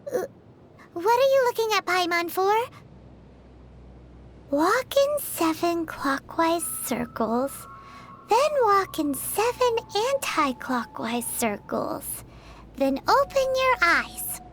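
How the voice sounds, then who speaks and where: A woman's voice: very high voice, sing-song, takes it slowly, a bit bright, a little hiss or hum, exaggerated voice. A woman voicing a tiny, cheeky floating companion creature in a video game, bubbly and teasing, talking about herself in the third person. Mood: playful.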